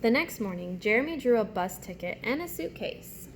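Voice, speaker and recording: A woman's voice: on the high side, sing-song, normal speed. A woman elementary teacher reading aloud and explaining things simply to young children. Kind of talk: children's read-aloud and writing lesson. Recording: faint background noise.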